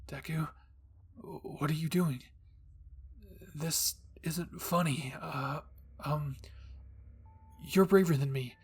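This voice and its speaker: A man's voice: fairly high pitch, steady tone, slow, pauses often, breathy edge, heavily acted. A man voicing a frightened teenage boy who whispers urgently in desperation. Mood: desperate whisper. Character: frightened teenage boy, hot-tempered but scared, desperate.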